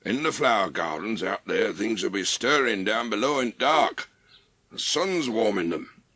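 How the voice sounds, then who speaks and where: An actor playing a grumpy old gardener with a gruff manner and a Yorkshire accent in a dramatised audiobook. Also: male voice, lowish voice, lively intonation, a little slow, pushed and bright, no room sound, full character voice. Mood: earthy, keen. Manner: gruff, dialect-heavy. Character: grumpy old male Yorkshire gardener, earthy, grudgingly kind. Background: birdsong.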